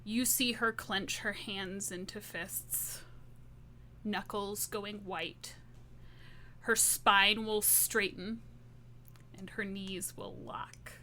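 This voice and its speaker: Female tabletop player, bright forceful voice, unhurried dramatic phrasing, faint noise behind. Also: medium pitch, steady tone. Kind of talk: narrating her character's actions in a roleplaying game.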